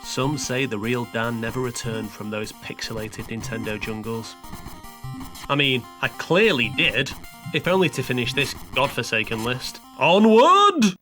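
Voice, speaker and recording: A man's voice: medium pitch, very animated, measured pace. A man reading a scripted comedic review in a sarcastic, sweary, mock-dramatic way. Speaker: narrator of a video game countdown review. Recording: music playing behind the voice. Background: background music.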